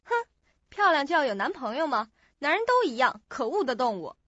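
Actress as a high-voiced, moody young woman. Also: sing-song, normal speed, fairly bright tone, dry recording. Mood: bitter. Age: young adult. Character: moody, self-deprecating young female office intern. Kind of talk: Chinese radio drama acting. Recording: dull lo-fi recording.

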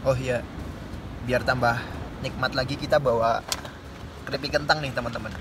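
A man's voice: mid-range voice, fairly even intonation, moderate pace. A man in his twenties from Indonesia, chatting casually to camera as a solo hiking vlogger inside a small tent. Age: 20s. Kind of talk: casual vlog chat to camera. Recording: clear background noise, outdoor ambience.

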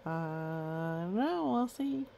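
A woman's voice: sits low, lively intonation, slow, vocal fry, warm tone, a little hiss or hum. A woman reading a children's picture book aloud in a playful way, breaking off for chatty asides and sing-song counting.

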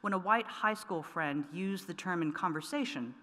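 A middle-aged woman poet reading her work aloud at a lectern microphone, measured and deliberate with pointed emphasis. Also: fairly low pitch, a bit bright. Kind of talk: poetry reading. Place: large wood-paneled auditorium, at a lectern. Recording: long reverb of a large hall.

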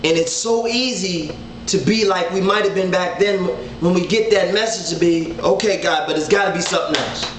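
A man's voice: sits high, normal speed, background noise. A man in his thirties giving a casual Bible study talk to an online audience from his living room, earnest and full of gesture, often repeating words as he gathers his thoughts. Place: living room at home, indoors. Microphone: webcam at desk distance. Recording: roomy home webcam audio.

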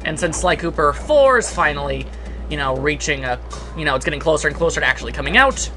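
A man's voice: on the high side, pushed and bright. A man doing casual, jokey let's play commentary in a sarcastic, mock-grandiose manner. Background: game music.

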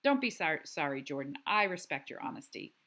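A woman's voice: low-pitched, big pitch swings, brisk, a bit bright, voice pushed down, heavily acted. Woman voicing an earnest, odd young schoolgirl. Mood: hurt.

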